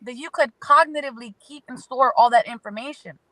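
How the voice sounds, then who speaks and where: A woman's voice: high-pitched, fairly quick, fairly bright tone, no echo, rough recording. A woman appearing as an astrology guest, giving spiritual advice in an emphatic way that stacks phrases into lists.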